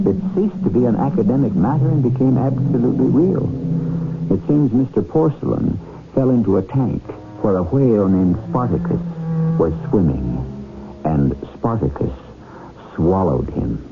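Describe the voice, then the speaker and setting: A man's voice: mid-range voice, sing-song, moderate pace. A man hosting and narrating a 1970s radio drama in a wry, theatrical, scripted style. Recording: tinny 1970s radio broadcast, music underneath. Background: music.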